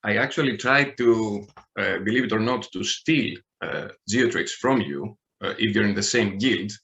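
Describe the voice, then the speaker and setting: A man's voice: on the low side, moderate pace, fairly bright tone, highs cut off. A man from Greece with a Greek accent, a podcast guest talking in a hesitant way with many fillers and restarts. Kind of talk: casual podcast chat.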